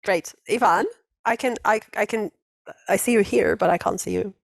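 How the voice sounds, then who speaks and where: A woman's voice: mid-range voice, moderate pace, vocal fry, dry recording. A woman in her forties hosting a casual online English class over a video call, chatty, laughing often and restarting her sentences. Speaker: host of an English conversation class.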